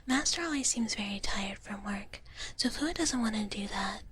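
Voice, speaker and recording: A woman whispering softly and breathily in a cutesy ASMR roleplay as a cozy dragon big sister, chatting with viewers close to the mic. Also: mid-range voice, animated, normal speed, slightly rough. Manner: cutesy, speaks of herself in the third person. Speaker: ASMR livestream host.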